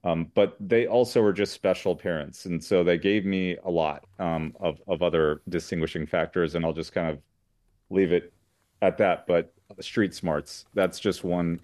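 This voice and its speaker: Low-voiced American man, earnest. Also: fairly even intonation, a little mellow, no room sound, clean studio sound. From Southern California. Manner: earnest, measured, many fillers and restarts. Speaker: guest, lawyer. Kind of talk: interview conversation.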